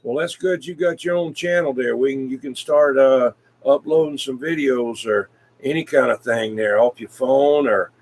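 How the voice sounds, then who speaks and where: A man in casual CB radio chat, talking in a folksy, rambling, hesitant way with frequent pauses. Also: medium pitch, darkish tone, slight background noise. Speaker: CB radio hobbyist, video maker.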